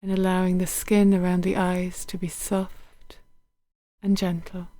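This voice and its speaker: A woman guiding a body scan meditation in a gentle, unhurried, soothing manner, letting her phrases end softly. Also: lowish voice, little pitch movement, dry recording. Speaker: meditation guide.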